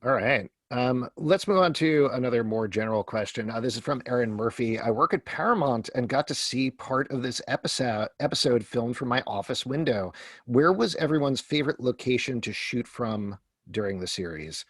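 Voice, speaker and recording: A man moderates a casual question session in a dry, close-sounding recording. His voice sits at a middle pitch as he reads questions and hands them on. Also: expressive, normal speed, a bit bright, dry recording.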